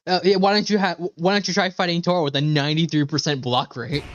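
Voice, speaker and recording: A game streamer chats casually over a playthrough. The medium-pitched voice is offhand and sweary, on a slightly muffled, lo-fi microphone. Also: fairly even intonation, fast talker, a little hiss or hum. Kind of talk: casual reaction commentary.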